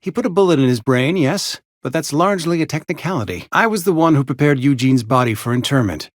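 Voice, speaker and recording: A man plays a prim, mournful frontier barber and undertaker from a video game, loyal to his company. His voice sits a little high and swings expressively up and down. He talks in florid, over-polite, formal sentences, in a dry, close recording. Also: lively intonation, quick pace, dry recording, lightly acted. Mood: prim, matter-of-fact. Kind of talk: theatrical video game character acting.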